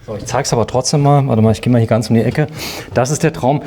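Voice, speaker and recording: A male presenter talks in a casual, jokey way while walking around a show home. His voice sits at an ordinary middle pitch. It is recorded on location with noticeable noise behind him. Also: steady tone, fast talker, mellow. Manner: informal, chatty, jokey. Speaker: TV presenter touring show homes.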